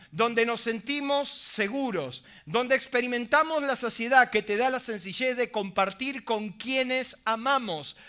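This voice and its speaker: A man's voice: very high voice, pushed and bright. A middle-aged man preaching a sermon, emphatic, often asking the congregation rhetorical questions. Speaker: pastor.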